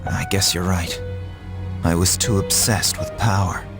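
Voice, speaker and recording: A man's voice: low-pitched, steady tone, unhurried. A man playing a tough, brooding young fighter wracked with guilt in a video game, speaking in a blunt, earnest way. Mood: bitter regret. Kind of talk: dramatic video game voice acting. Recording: loud music behind the voice. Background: music.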